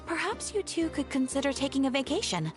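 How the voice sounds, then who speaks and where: A video game guild receptionist, courteous and composed. The voice is fairly high with lively melody, explaining things formally over clear music. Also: female voice, fairly quick. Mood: polite. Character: polite female adventurers' guild receptionist, helpful and composed. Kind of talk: scripted video game dialogue.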